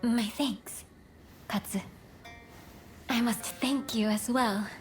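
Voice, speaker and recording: An actress playing a dignified, stern princess in a video game, speaking formally and with composure. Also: female voice, on the high side, fairly even intonation, takes it slowly, soft background music. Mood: composed, gracious. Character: dignified, stern Japanese princess, commanding and gracious. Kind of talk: dramatic video game acting.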